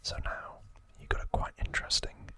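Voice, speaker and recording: A man whispering an ASMR recap in a breathy, unvoiced voice, explaining casually and haltingly as host. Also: bright, forceful tone.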